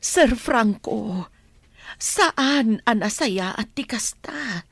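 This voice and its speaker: A woman's voice: on the high side, lively intonation, gravelly, no room sound, exaggerated voice. A woman playing an earnest, reproachful old nanny in an Ilocano radio drama. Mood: admonishing. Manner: earnest, admonishing, drawn-out delivery.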